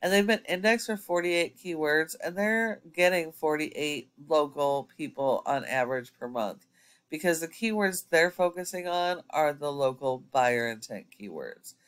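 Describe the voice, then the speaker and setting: A man's voice: on the high side, expressive, a little slow, a bit bright, clear, resonant tone. A man giving a screen-recorded website audit as an SEO consultant, explaining step by step with frequent ums.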